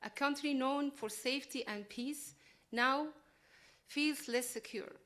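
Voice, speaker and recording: A woman reading prepared remarks to a gathering in an earnest, deliberate way. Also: high voice, a bit bright. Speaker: invited speaker.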